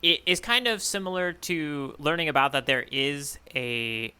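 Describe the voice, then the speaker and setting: A man's voice: a little high, unhurried, bright, forceful tone, clear, resonant tone. A man co-hosting a casual podcast discussion, thinking aloud and tentatively working through his ideas as he speaks. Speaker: podcast co-host, newcomer reader giving theories.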